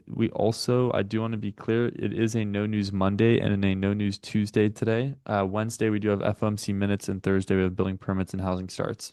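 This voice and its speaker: A man with a low, gravelly voice runs a live trading stream, working through chart setups and price levels step by step between quick chats with his viewers. He was raised in the States, though French was his first language. The sound is dry and close, with no room around it. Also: darkish tone, dry recording. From United States. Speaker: day-trading stream host. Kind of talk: live market commentary.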